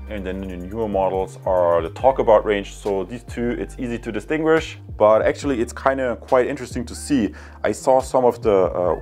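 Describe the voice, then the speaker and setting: A man's voice: on the low side, a little mellow. A man in his thirties giving a casual, unscripted product review in a chatty, rambling way, prone to digressions. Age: 30s. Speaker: product reviewer and channel host. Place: indoors in a home room.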